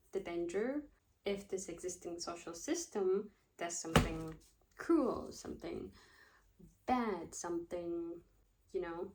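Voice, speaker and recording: A woman's voice: on the low side, a little mellow, poor audio. A woman in her twenties, a student vlogger, rambling casually and thinking aloud, often losing her train of thought. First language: not Russian. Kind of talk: casual chat.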